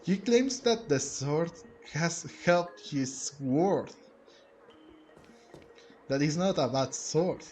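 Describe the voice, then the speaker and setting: Slow-paced, slightly high-voiced male gamer. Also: expressive, a little mellow, a little hiss or hum. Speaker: gaming video host. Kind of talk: casual game playthrough commentary. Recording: faint music behind.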